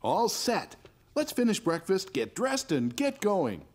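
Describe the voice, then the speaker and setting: An actor playing a gentle, patient young father in a children's cartoon, soothing and a little teasing. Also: medium pitch, huge pitch swings, measured pace. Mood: playful. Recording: music under the voice.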